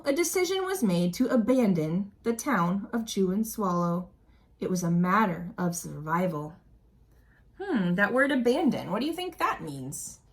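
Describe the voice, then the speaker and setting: A woman reading a children's story aloud expressively, as an educator addressing young listeners. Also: medium pitch. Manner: animated storytelling with explanatory asides and questions to young listeners. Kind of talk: expressive picture-book read-aloud.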